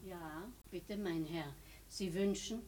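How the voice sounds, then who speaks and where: A woman's voice: on the low side, steady tone, slow. An actress playing a polite, sympathetic woman receiving a visitor in a 1950s German radio crime drama. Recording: noisy, hissy 1950s radio recording.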